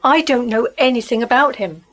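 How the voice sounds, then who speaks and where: An actress in a naturalistic radio play, playing a suspicious, resentful wife with a terse, challenging delivery. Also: female voice, on the high side, a little fast, close to an everyday voice. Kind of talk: naturalistic radio drama acting.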